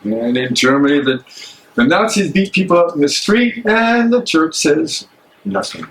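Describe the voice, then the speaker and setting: A man acting a troubled, earnest German theologian in a live dramatic reading, speaking haltingly. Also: fairly high pitch, lively intonation, fairly bright tone, poor audio. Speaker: actor in a dramatic play reading. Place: public library meeting room. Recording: live library room, faint background noise.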